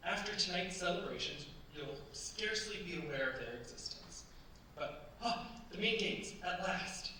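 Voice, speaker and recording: An actor playing an eager, doting young French bridegroom in a staged radio play, with a courtly, effusive manner. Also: male voice, high-pitched, expressive, moderate pace, bright, forceful tone, full character voice. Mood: proud, enthusiastic. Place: staged performance in a cultural centre. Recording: very echoey hall, distant mics, faint hiss.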